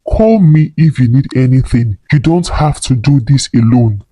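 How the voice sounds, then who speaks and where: Man playing a husky, protective male doctor. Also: medium pitch, normal speed, dark tone, dry recording, natural voice. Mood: earnest, supportive. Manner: earnest, admonishing. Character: male doctor, loyal, protective, frustrated best friend.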